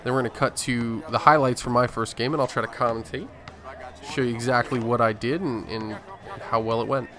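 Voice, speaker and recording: A man's voice: medium pitch, fairly even intonation, a little fast, a crowd in the background. A man giving casual, joking and sometimes profane commentary as host of a gaming video. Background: video game audio.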